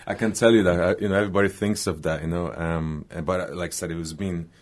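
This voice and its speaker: Very deep-voiced Brazilian football manager. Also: male voice, fairly even intonation, fast. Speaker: football team manager, guest. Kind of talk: halting conversational radio interview.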